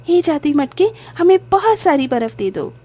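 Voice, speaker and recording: A woman's voice: sits high, very animated, moderate pace, mellow. A woman voicing an eager, kind young orphan sister in a cartoon moral story, exclamatory and earnest. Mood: cheerful.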